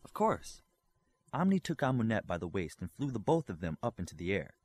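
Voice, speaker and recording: Man narrating fiction with varied pitch. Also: very animated, fast, a little mellow. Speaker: audiobook reader.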